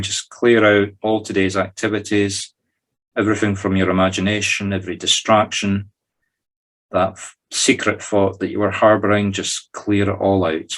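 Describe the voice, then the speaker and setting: Low-pitched Scottish man guiding a meditation. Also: a bit bright. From Scotland. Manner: conversational, informal, instructing listeners step by step. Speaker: medium leading a group guided meditation. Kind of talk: guided meditation. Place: recorded in a group video call.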